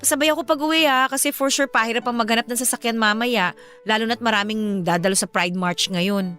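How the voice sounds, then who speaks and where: A woman's voice: fairly high pitch, brisk, fairly bright tone, natural voice. A woman playing an earnest, caring young woman in a Filipino radio drama. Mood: casual, friendly. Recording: music bed under the dialogue. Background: music.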